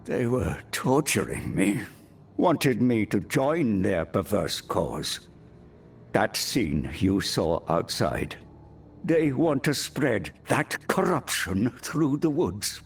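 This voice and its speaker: An actor playing a wounded captive druid in a fantasy game, speaking formally, weary and halting, grateful yet defiant. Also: male voice, on the low side, very animated, creaky, a little hiss or hum, full character voice. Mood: bitter, pained.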